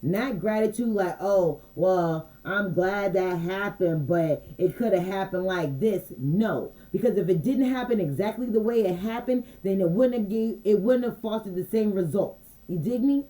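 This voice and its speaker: A woman with a mellow, relaxed voice talks loosely to her viewers as an intuitive card reader. She repeats phrases and keeps checking that they follow her. Her delivery stays unhurried and conversational. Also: mid-range voice, low-quality recording. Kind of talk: casual channeled message livestream.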